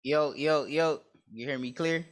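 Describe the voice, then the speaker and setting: A man's voice: a little high, unhurried. Easygoing man hosting a podcast. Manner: loose street slang, playful. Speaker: podcast host.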